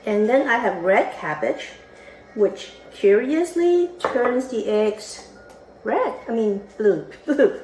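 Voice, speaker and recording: A woman's voice: on the high side, sing-song, slow pace. Chatty woman host from Hong Kong. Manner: chatty, with small slips and restarts. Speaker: podcast co-host. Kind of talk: casual how-to demonstration. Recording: roomy echo, faint room noise.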